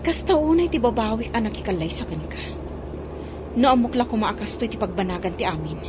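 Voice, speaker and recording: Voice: mid-range voice, expressive, brisk, background noise, close to an everyday voice. A woman playing a jealous, reproachful, sarcastic wife and mother in an audio drama. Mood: bitter, jealous.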